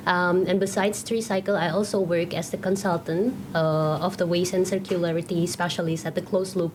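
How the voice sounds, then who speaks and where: Measured woman, low voice. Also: steady tone, background noise. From Indonesia. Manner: measured non-native English, thoughtful with "um" and "let's say". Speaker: social enterprise founder, podcast guest. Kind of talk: interview answers.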